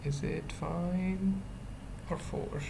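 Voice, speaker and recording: A very slow speaker with heavy vocal fry presents an unscripted coding screencast. The delivery is halting, in short fragments, as if thinking aloud. Clear background noise and keyboard typing sit behind the voice. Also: medium pitch, fairly even intonation. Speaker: web development tutorial presenter. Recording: noisy mic, keyboard typing. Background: computer keyboard typing.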